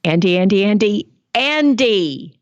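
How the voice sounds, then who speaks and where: A woman's voice: mid-range voice, animated, measured pace, dry recording, lo-fi sound. Playful, teasing woman co-host. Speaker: podcast co-host. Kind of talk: casual podcast banter.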